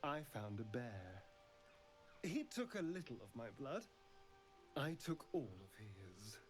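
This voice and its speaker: A man's voice: medium pitch, sing-song, takes it slowly, soft background music. A man playing a sly, teasing male vampire in a video game, arch and theatrical. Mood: smug, wry.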